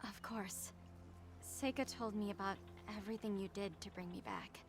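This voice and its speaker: A woman's voice: mid-range voice, normal speed, close to an everyday voice. An actress playing an earnest, remorseful young woman in a dramatic video game cutscene. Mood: ashamed, regretful. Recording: loud music behind the voice.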